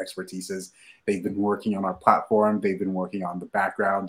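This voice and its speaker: A man, a startup founder answering interview questions in a measured way with many fillers. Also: low-pitched. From Nigeria.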